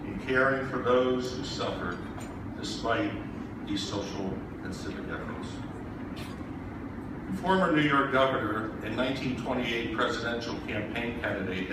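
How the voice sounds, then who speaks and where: A man whose first language is Polish gives a formal gala speech in a hotel banquet hall, oratorical and measured, with wry joking asides. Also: mid-range voice, very noisy. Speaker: gala speaker. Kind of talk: formal after-dinner speech. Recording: very echoey hall from far off, loud ambience.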